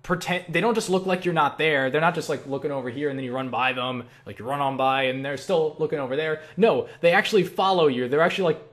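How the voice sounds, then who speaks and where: A man's voice: a little high, quick pace, few pauses, a bit bright. A young man chatting casually and thinking aloud as he answers viewer questions, rambling and opinionated, with frequent swearing. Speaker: online video creator answering viewer questions. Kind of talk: casual rambling Q&A chat about video games.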